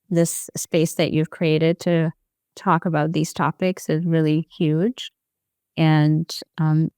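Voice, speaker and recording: A woman speaks low and softly, with a gravelly edge and a mellow tone. She tells her story thoughtfully, recorded close and dry. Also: no echo. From Ethiopia. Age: 40s. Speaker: guest, former psychiatric nurse. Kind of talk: personal storytelling in an interview.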